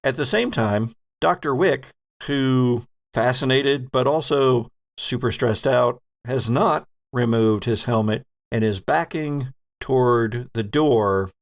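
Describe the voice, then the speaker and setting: A man's voice: medium pitch, takes it slowly, hardly any pauses. A man narrating a tabletop role-playing game as game master, setting scenes with vivid description.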